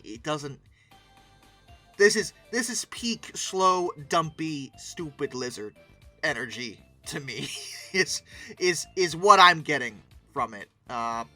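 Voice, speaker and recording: An expressive, emphatic host giving casual reaction commentary, often breaking into exclamations. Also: mid-range voice. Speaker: host of a paleoart commentary channel.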